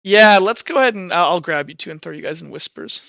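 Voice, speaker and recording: A man with a fairly high, bright voice runs a tabletop game as its game master. He talks casually through narration and rules with crisp clarity. The sound is close and dry, slightly dulled as in online voice chat. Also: animated, fast, no room sound, dull audio. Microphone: headset.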